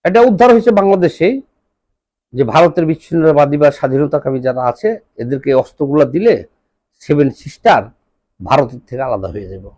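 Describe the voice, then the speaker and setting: A Bangladeshi man speaks as an impassioned political commentator in a high-pitched voice. His intonation swings widely as he scolds and drives points home with rhetorical questions. The recording is dry and close, with very little room sound. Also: animated, brisk, pauses often, darkish tone, dry recording.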